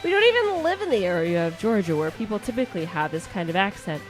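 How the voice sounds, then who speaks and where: A woman's voice: medium pitch, quick pace, soft background music. A woman with a Midwestern accent hosting a casual comedy podcast, reading a listener letter and riffing on it with lots of restarts. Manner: animated, lots of restarts and asides. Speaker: podcast host.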